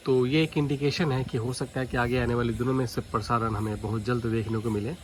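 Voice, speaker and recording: A man's voice: mid-range voice, fairly even intonation, quick pace. A man with an Indian accent explains things casually to viewers in a vlog, often restating his points. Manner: explanatory, repeats points, addresses viewers directly. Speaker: vlogger, shortwave radio hobbyist. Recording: clearly noisy home recording, radio static.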